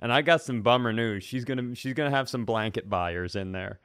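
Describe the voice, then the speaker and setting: A man's voice: medium pitch, fairly quick, a bit bright, smooth, no room sound, studio quality. A man co-hosting a comedy podcast, chatting casually and acting out imagined quotes as he tells stories.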